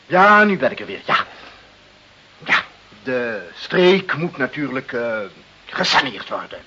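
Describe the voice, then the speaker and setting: A man's voice: medium pitch, very animated, unhurried, pushed and bright, husky, full character voice. A man acting in a radio play as a pompous, peevish, muddled director of a government office, with a blustering bureaucratic manner. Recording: dull, hissy old radio play recording.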